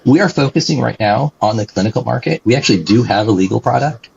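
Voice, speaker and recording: A man's voice: mid-range voice, lively intonation, fast, creaky, darkish tone, husky. A man being interviewed as a guest, explaining things animatedly and tagging sentences with "right?", on a dull lo-fi recording. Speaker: guest, company executive. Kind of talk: conversational interview answers.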